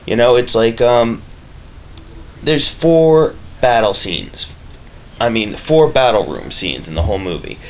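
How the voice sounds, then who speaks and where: A man talking casually and haltingly in a laid-back, rambling vlog review. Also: medium pitch, sing-song. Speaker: vlogger reviewing a film. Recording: dull lo-fi mic with clear background noise.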